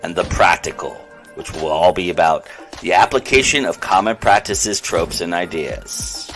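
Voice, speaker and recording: A man reading a scripted podcast intro in a slow, deliberate announcing manner. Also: deep voice, expressive, fairly bright tone, faint music behind. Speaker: podcast host.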